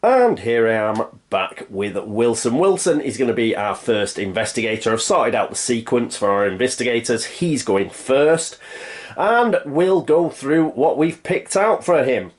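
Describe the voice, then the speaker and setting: A man's voice: medium pitch, lively intonation, measured pace. A man with a stuffy nose from a cold, chatting casually through a board game setup and thinking aloud with plenty of fillers. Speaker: board game channel host.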